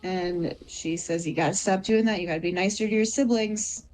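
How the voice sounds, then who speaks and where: Female roleplayer, casual improvised storytelling, slightly low register. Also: moderate pace, a little mellow, poor audio. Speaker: player.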